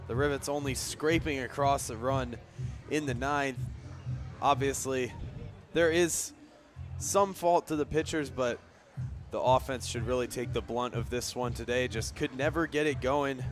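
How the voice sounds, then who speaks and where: A man giving conversational analysis as a color commentator on a live baseball radio broadcast. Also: medium pitch.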